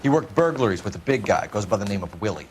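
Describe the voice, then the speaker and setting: An actor playing an earnest, brisk American police detective in a 1940s crime video game, formal and clipped. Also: male voice, fairly low pitch, big pitch swings, slight background noise. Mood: businesslike.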